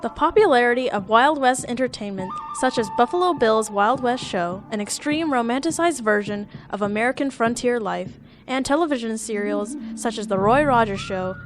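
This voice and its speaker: A woman's voice: a little high, animated, moderate pace. A teenage girl student reporter narrating a segment and asking classmates questions. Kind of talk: scripted narration and street interviews. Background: background music.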